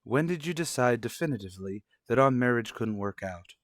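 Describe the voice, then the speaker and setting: A man playing a stern, distrustful husband and father in an old-fashioned radio soap, speaking in a formal, measured, argumentative way. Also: medium pitch, expressive, no room sound, studio quality, close to an everyday voice. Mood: accusing.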